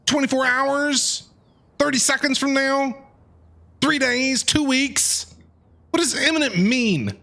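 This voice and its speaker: A man streaming live game reactions in an excitable, rambling, repetitive way, talking to his chat. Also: very high-pitched, slow, gravelly, pauses often.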